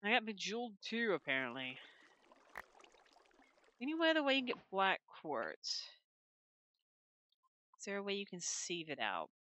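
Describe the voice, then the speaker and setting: A woman's voice: mid-range voice, wildly swooping pitch, moderate pace, long pauses, sharp tone. A woman casually commenting on her own gameplay at home, rambling and talking to herself as she plays. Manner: rambling, talks to herself while playing. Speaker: gaming channel host. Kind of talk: casual let's-play commentary. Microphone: desk microphone.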